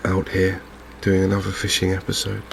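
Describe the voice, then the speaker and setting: Soft spoken gamer guy, deep gravelly voice, slow musing asmr commentary, noticeable noise behind him. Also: completely flat delivery, poor audio. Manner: unhurried, hesitant, musing to himself. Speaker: gaming video host.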